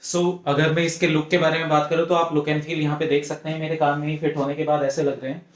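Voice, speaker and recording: Casual male gadget reviewer, Indian accent, slightly high voice, explanatory delivery with English phrases mixed in. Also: little pitch movement, fast talker. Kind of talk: casual product review to camera.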